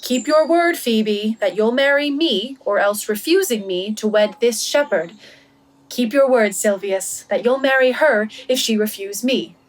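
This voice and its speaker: A woman's voice: fairly high pitch, unhurried, distant mic, close to an everyday voice, rough recording. A young woman acting a witty, playful heroine disguised as a shepherd boy in a Shakespearean radio play, speaking articulately. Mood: assured, commanding. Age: young adult.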